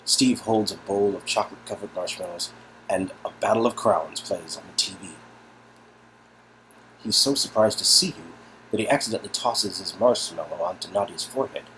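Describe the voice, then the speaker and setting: Low-voiced man narrating a story. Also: normal speed, pushed and bright, a little hiss or hum, rough recording.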